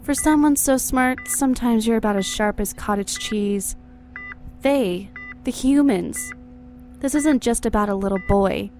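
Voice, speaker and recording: A woman's voice: a little high, unhurried, a little mellow, soft background music. A woman playing a sardonic dead wife in a nightmare, speaking cryptically and mockingly in an audio drama.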